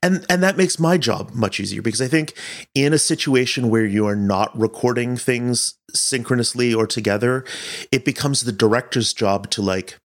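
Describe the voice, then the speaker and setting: A man who directs audio fiction, talking casually in an interview in a thoughtful, halting way as he searches for words. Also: medium pitch.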